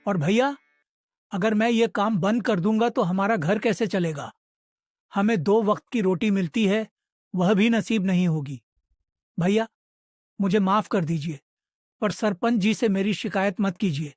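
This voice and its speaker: A man's voice: high voice, unhurried, pauses often, a little mellow, no echo, studio quality. A man voicing a poor, humble middle-aged village woodcutter in a cartoon, speaking in a pleading, deferential way. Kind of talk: Hindi moral cartoon dialogue.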